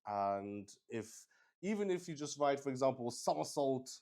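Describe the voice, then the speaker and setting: A man thinking aloud as he plays and explains a solo roleplaying game on stream, halting and often searching for words. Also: mid-range voice, lively intonation, a little mellow.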